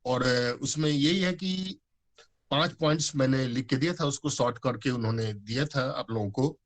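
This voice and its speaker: A man's voice: mid-range voice, normal speed. A man, giving a conversational talk on a video call, full of fillers and English words. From Bihar, India. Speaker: guest speaker on organic farming. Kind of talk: informal talk to an online audience.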